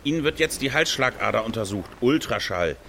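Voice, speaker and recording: Matter-of-fact hospital staffer, medium pitch. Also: male voice, lively intonation, brisk, creaky, pushed and bright, a little hiss or hum. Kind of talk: naturalistic radio drama acting.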